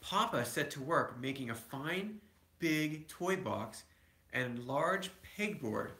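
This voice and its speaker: A man's voice: a little high, animated, takes it slowly, bright, forceful tone. A man reading a picture book aloud to his children, with conversational asides. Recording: echoey room.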